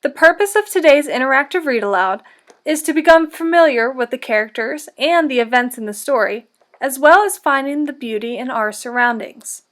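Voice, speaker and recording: Lady teacher doing a kids storybook read aloud, high voice, deliberate and instructive. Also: animated, a bit bright.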